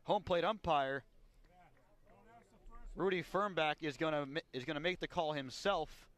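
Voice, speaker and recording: An American man works as a radio play-by-play announcer at a baseball game. His voice sits a little higher than average for a man, and he delivers live commentary on the game. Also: measured pace, a bit bright. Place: ballpark radio broadcast.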